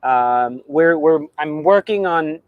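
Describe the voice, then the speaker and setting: An American man from the South chatting casually as co-host of a football fan show, animated and quick to laugh. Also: on the high side, clean tone, no echo, narrow, tinny audio. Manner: animated, laughs often, slips into put-on accents. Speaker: podcast co-host.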